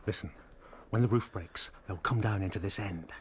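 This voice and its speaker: A fearless rabbit fighter from a radio play, acted by a man. He is blunt and grimly calm, with a lowish voice over a faint background murmur. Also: moderate pace, natural voice. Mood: grim resolve. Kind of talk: radio drama acting. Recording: faint ambient noise.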